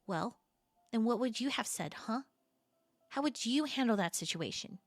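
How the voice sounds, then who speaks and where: Woman acting flirty, expressive best friend, close and dry. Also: a little high, moderate pace, no room sound, faint music behind. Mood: exasperated, defensive. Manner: casual, expressive, hesitant trailing-off phrases. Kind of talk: intimate audio roleplay acting, conversational.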